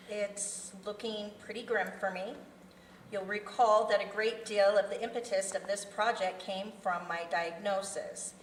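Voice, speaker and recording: A woman's voice: medium pitch, a bit bright, close to an everyday voice. Lady reading the part of older woman crime boss, chatty and businesslike, roomy far-off mic w/ faint noise. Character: older woman serial killer mastermind, bossy, chatty boss. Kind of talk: live script table read. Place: festival venue room.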